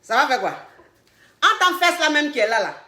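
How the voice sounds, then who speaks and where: A woman with a slight head cold, giving coaching advice on a live stream, repeating herself and bursting out loudly on key points. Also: high-pitched, big pitch swings, moderate pace, roomy sound. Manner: emphatic and repetitive, loud bursts on key points. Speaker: relationship coach.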